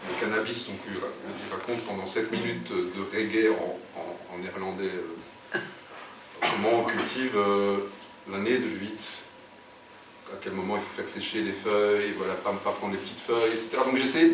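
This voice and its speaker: A man's voice: mid-range voice, a bit bright. A middle-aged man, telling stories as an interview guest, hesitant, with many fillers and restarts. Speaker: guest writer. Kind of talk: casual interview storytelling. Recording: echoey room, distant mic, background noise.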